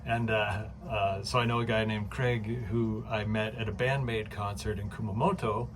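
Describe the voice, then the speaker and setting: Man, lowish voice, casual home vlog, excitable, filler-heavy, phone mic. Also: moderate pace, background noise. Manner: chatty and excitable, many fillers, laughs often. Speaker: vlogger. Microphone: phone camera.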